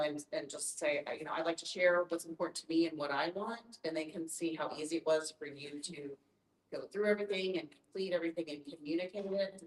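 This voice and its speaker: A low-voiced woman offers advice during a group talk. She explains in an encouraging, conversational way, with noticeable room echo around her. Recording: echoey room.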